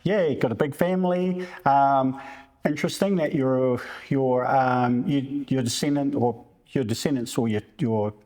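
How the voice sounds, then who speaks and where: New guy hosting a chat, mid-pitched voice, lots of "right" and ums, encouraging. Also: measured pace, darkish tone. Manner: encouraging, frequent affirmations and hesitations. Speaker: podcast host. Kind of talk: casual interview.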